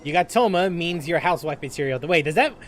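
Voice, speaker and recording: High-pitched, animated male gaming streamer. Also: quick pace, slight background noise. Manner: joking, rambling. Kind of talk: casual gameplay commentary.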